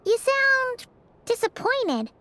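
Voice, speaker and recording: A woman's voice: very high-pitched, slow pace, heavily acted. A woman voicing a tiny, cute, curious childlike fairy companion in a video game, speaking expressively. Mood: curious, sympathetic. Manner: refers to self in third person, expressive.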